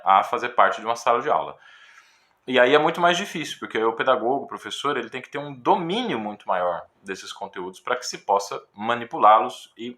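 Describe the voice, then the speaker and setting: A man with a Brazilian Portuguese accent, a guitar teacher giving a casual, thoughtful talk with frequent pauses and fillers. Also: mid-range voice, pushed and bright. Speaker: guitar teacher reviewing a book. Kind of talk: casual spoken commentary.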